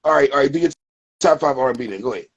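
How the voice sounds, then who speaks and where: A man's voice: mid-range voice, lively intonation, rapid-fire, crowd noise. A man hosting a casual interview, playful and teasing, keeping it moving with short prompts. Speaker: interview host.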